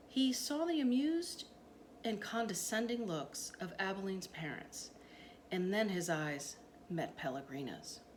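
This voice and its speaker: A woman reading a children's story aloud in an expressive narrating style. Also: mid-range voice. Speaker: storytime reader. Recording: noticeable background noise.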